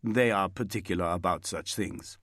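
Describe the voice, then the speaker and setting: A man's voice: deep voice, normal speed, a bit bright, dry recording, clean studio sound. A man voicing an older Italian window cleaner, tired and worn down, with an Italian accent. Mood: polite.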